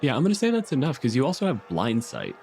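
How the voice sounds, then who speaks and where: A man's voice: mid-range voice, huge pitch swings, quick pace, vocal fry, a little mellow, faint music behind. A man co-hosting a podcast as game master, refereeing a tabletop game in casual talk.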